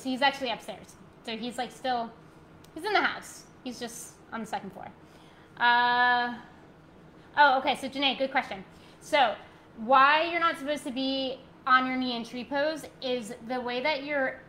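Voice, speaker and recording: Yoga teacher lady on her laptop mic at home, bright clear voice, chatty and warm with her class, faint room hiss. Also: sits high, poor audio. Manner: soft, slow guided cues, then bubbly rambling chat. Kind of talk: live-streamed yoga class, chatting with viewers. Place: living room at home, indoors. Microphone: laptop mic, webcam framing.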